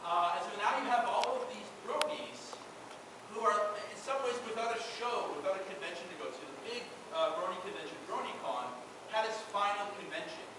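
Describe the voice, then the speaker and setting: Man lecturing in an echoey hall. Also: high voice. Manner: chatty, many filler "uh"s and "right?" checks. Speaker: researcher presenting at a convention panel. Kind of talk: conversational lecture. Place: large convention panel room. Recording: echoey hall from far off, noisy.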